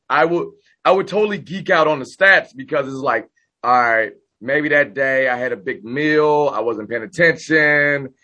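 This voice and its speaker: Hoarse, high-energy man. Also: sharp tone, highs cut off. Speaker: podcast guest. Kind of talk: casual podcast chat.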